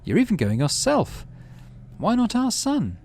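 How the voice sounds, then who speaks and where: A man's voice: high voice, huge pitch swings, unhurried, voice pushed up, exaggerated voice. A man reading a game's dialogue aloud and voicing a firm, outspoken mother in a raised voice. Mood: insistent. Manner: sharp, insistent.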